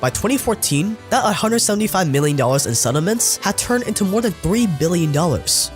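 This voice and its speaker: A man's voice: high voice, lively intonation, a little fast, soft background music. A man narrating a scripted documentary in a wry, sarcastic way, his voice sounding a little sick.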